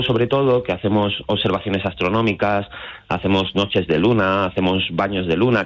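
A man from Madrid with a central Spanish accent explains things step by step as a guest in an informal radio interview, speaking over the phone. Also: low voice. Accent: central Spain. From Madrid, Spain. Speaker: astrotourism guide, phone guest. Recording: dull lo-fi phone call.

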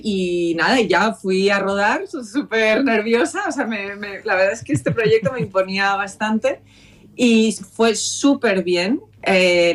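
Actress guest, female, chatty anecdote teller. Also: medium pitch. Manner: lively anecdotal, acts out quoted dialogue. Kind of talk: storytelling in interview.

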